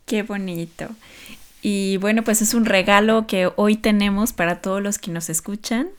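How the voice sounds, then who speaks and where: A woman hosting a podcast, asking a guest questions in a gently inquisitive way. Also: medium pitch, steady tone, normal speed. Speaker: podcast host. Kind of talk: interview hosting.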